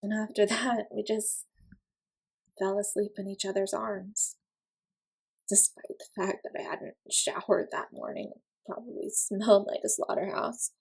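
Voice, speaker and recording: A woman acts out an awkward young woman opening up to a friend in an intimate audio roleplay. She talks casually and slowly, with hesitations and self-deprecating, sarcastic wit. The voice is slightly husky and noticeably creaky. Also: mid-range voice, flat delivery, a little mellow, low-quality recording. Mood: tender, hesitant.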